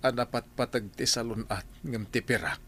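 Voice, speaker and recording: A man's voice: mid-range voice, steady tone, moderate pace, a bit bright. A man playing a wealthy, ailing doctor, humbled and rueful, in a radio drama. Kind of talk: Ilocano radio drama acting.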